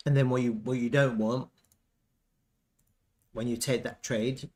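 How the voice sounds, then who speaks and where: A man with a mid-range voice talks through analysis in an unscripted, casual way. He works out his thoughts as he speaks, often halting and circling back over his own words, like an analyst commenting live on a chart. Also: mid-range voice, steady tone, a little mellow. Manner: thinking aloud, halting, repeats himself.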